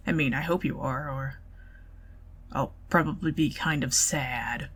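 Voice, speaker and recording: A middle-aged woman with a Southern US accent, speaking softly and partly in whispers in an ASMR date roleplay. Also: extremely low pitch, a little slow, husky. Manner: intimate, soft-spoken, giggly, partly whispered.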